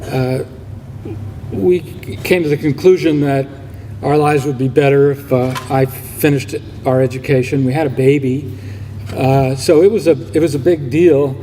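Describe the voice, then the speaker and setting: A man in his sixties with a very soft, dark tone speaks to a gathering in an echoing hall. He is reflective and halting, telling things informally, with some background noise. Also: medium pitch, fairly even intonation. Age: 60s. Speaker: donor speaking at a ceremony. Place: large room, public event.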